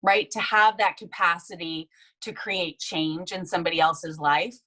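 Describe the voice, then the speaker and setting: A woman in her forties from the United States, a guest telling her life story in a casual, animated interview. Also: lowish voice, moderate pace.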